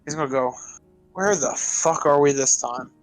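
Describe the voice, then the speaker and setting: A man's voice: fairly high pitch, normal speed, soft background music, rough recording. A man playing a tabletop roleplaying game, chatting casually and playfully as he describes his character.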